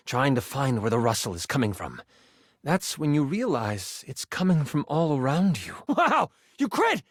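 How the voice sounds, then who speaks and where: A man's voice: high voice, no echo, studio quality. An actor playing an enthusiastic, theatrical male game master who narrates a fantasy tabletop adventure in a video game. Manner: expressive, theatrical storyteller.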